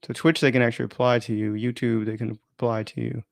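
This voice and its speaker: A man's voice: medium pitch, little pitch movement, fast, creaky, no room sound, clean studio sound. A man chatting informally and thinking aloud as he runs an unplanned live stream, often interrupting himself in a rambling way.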